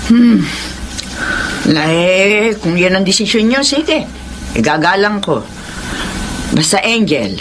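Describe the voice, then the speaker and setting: A woman's voice: mid-range voice, very animated, slow pace, long pauses, background noise. A woman with a Filipino accent playing a skeptical, protective but caring middle-aged mother in a radio drama. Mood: resigned, concerned.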